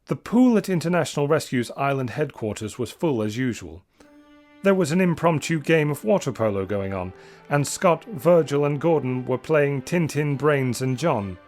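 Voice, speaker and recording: A man narrates an adventure story in a mid-range voice. His delivery is dramatic, deliberate and full of suspense. Music plays clearly behind the reading. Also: mid-range voice, animated, a little mellow.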